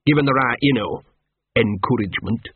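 A scruffy small male dog who talks, acted out by a man reading an audiobook. He is gloomy and streetwise, grumbling and wheedling in loose slang. The voice is husky, heard through a thin, narrow band with very little room sound. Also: mid-range voice, normal speed, dry recording, close to an everyday voice. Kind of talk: audiobook character voice.

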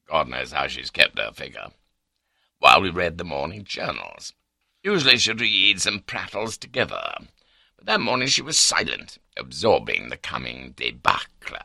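A man playing a cynical, irascible old gentleman raconteur in an audiobook, pompous and wry, with an upper-class British accent. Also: very deep voice, wildly swooping pitch, normal speed, gravelly, no echo, studio quality, exaggerated voice. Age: older.

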